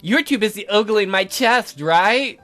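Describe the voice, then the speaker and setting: A man's voice: high-pitched, expressive, a little slow, bright, forceful tone, faint music behind, exaggerated voice. A man voicing a brash, bossy teenage schoolgirl in a visual novel, blunt and cheeky. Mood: teasing.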